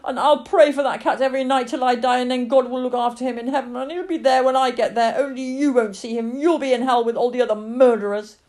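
A woman's voice: on the high side, wildly swooping pitch, moderate pace, warm tone, voice pushed up. A woman voicing an earnest young farm girl in a story, pleading and emphatic. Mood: angry, defiant. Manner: pleading, emphatic, tearful when upset.

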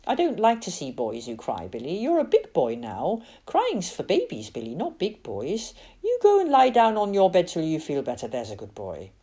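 A woman reads as a chattering, fussing middle-aged aunt in a storybook, raising her voice as she nags and passes on gossip. Her pitch swings widely, and the voice stays husky underneath. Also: wildly swooping pitch, normal speed, few pauses, dark tone, heavily acted. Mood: scolding. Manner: chattering, scolding, full of hearsay.

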